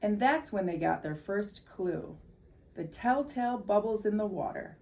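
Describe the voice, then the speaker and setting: A woman reading a children's story aloud as a volunteer, with animated, emphatic delivery. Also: mid-range voice, unhurried, creaky.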